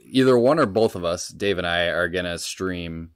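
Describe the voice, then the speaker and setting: A man's voice: sits low, moderate pace, clean tone. A man co-hosting a podcast in casual chat, with informal, laid-back phrasing. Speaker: podcast co-host.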